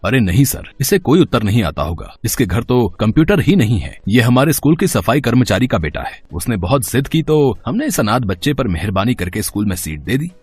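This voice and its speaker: A man playing a stern, snobbish school principal in a cartoon moral story, with a husky, scolding and condescending delivery. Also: mid-range voice, sing-song, fairly quick, hardly any pauses, darkish tone, no echo, faint music behind. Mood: dismissive. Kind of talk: animated moral story dialogue.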